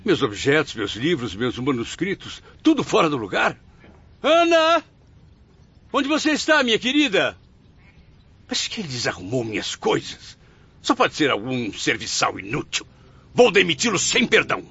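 A proud, desperate ghost cries and pleads, as an actor voices him in a radio play. His voice sits high and grates with gravel. The track sounds muffled under a layer of noise. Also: wildly swooping pitch, measured pace, bright, forceful tone, exaggerated voice. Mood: bewildered. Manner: anguished, pleading, crying out. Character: tormented male suicide's ghost, proud and desperate. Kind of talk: radio drama acting.

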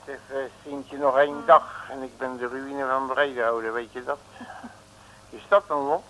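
An actor playing an exasperated, hammy detective who stammers out complaints in an old comic radio play. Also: male voice, medium pitch, fairly even intonation, normal speed, pushed and bright, close to an everyday voice. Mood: grumbling disgust. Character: grumbling theatrical male detective stuck in jail. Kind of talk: 1950s-60s comic radio play acting. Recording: noisy old radio recording.

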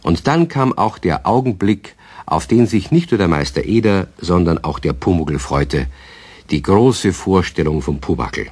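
A man narrating a children's radio story with a very low, slightly husky voice. He tells it calmly and in a measured way.

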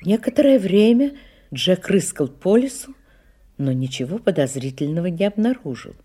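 A wry, lyrical female storyteller in a radio play. Her voice is dark and soft-edged, a little husky, and rises and falls expressively over faint music. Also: fairly low pitch, lively intonation, moderate pace. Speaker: narrator. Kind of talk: literary radio play narration.

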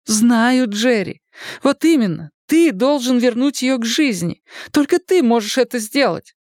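A woman's voice: on the high side, expressive, measured pace, a little mellow, no room sound, clean studio sound. A woman voicing an anguished wife and mother in a dramatised audiobook, tender but insistent as she emotionally implores. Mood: reproachful, urging.

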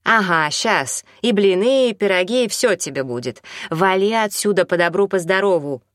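A big, domineering older village woman from an audiobook, as a female reader puts her on. She scolds brusquely in folksy, colloquial speech and sounds gruff and combative, recorded dry and close. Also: mid-range voice, animated, moderate pace, no echo, pitched above the performer's own voice, close to an everyday voice. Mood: threatening.

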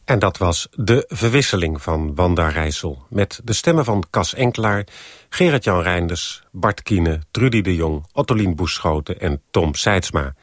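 A man's voice: low-pitched, animated, unhurried, no echo, studio quality. A man reading a scripted continuity announcement as a radio announcer.

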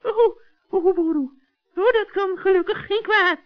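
Sly elderly witch, acted by a man, extremely high, falsely sweet, wheedling. Netherlands Dutch, vintage children's radio, dull sound. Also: unhurried. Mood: flustered, sly. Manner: cackling, wheedling, falsely sweet, stammering when flustered. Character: sly, scheming old female forest witch. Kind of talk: 1960s children's radio play acting. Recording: dull old radio recording.

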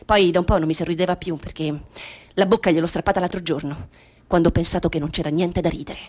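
A woman's voice: fairly low pitch, fairly even intonation, quick pace, a little mellow, lightly acted. An actress playing a precocious, troubled little girl with naive, matter-of-fact bravado in a radio play monologue. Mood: melancholy, brittle.

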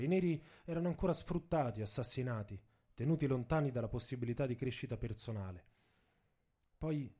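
A man reads a scripted dramatic story for radio theatre in measured, deliberate phrases with dramatic pauses. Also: medium pitch, warm tone. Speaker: author and narrator. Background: music, saxophone and drums.

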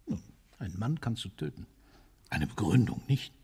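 An actor playing a world-weary, cynical military ruler in a radio drama, speaking in a bitter, deliberate, rhetorical way. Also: male voice, on the low side, very animated, gravelly, dark tone, husky, heavily acted. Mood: brooding, resigned. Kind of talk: theatrical radio drama monologue.

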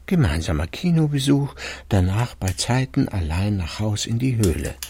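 An actor playing a weary, secretive middle-aged intellectual husband who drinks, musing wryly in a radio drama monologue. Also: male voice, on the low side, very animated, noisy background. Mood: defensive irony. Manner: deliberate, wry, musing. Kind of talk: radio play interior monologue.

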